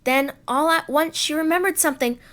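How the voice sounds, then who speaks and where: A woman storyteller reading aloud to children in an expressive narrating style. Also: sits high, brisk.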